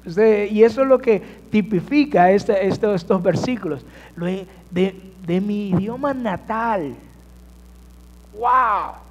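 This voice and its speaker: High-voiced pastor preaching in a hall. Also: male voice, darkish tone, dull audio. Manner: addresses congregation with rhetorical questions. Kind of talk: sermon. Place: church sanctuary. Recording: church hall reverb.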